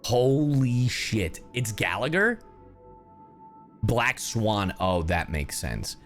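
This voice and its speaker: A man giving casual game reaction commentary, brash and expressive, with lots of swearing and slang. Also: on the low side, slow. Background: game music.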